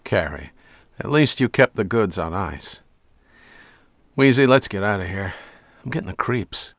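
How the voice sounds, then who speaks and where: An actor playing a wry, wisecracking police lieutenant who narrates a hard-boiled radio mystery in casual slang. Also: male voice, fairly low pitch, animated, a little slow, no echo, clean studio sound. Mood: sardonic.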